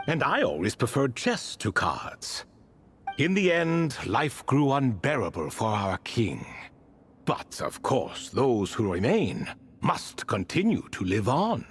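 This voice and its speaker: Slow, theatrical ancient spirit. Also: male voice, mid-range voice, a bit bright, slight background noise, heavily acted. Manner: theatrical, refined, leisurely storytelling. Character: ancient cat-borne spirit, proud, wistful, wry male retainer. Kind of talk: video game character dialogue.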